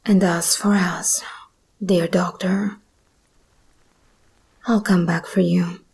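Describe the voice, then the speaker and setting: A woman voicing a seductive, menacing female monster hybrid in an ASMR roleplay, speaking in a breathy whisper with slow, deliberate, teasing delivery. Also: lowish voice, flat delivery, darkish tone, exaggerated voice. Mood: possessive, intimate.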